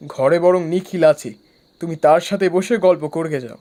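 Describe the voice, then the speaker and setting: A man's voice: fairly high pitch, normal speed, mellow, natural voice. A man playing a firm, composed figure in a scripted horror cartoon.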